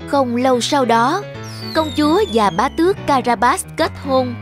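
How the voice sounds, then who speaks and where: A woman narrates children's fairy tales in a fairly high voice. It is scripted storytelling, with music clearly playing behind her. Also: expressive, measured pace. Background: background music.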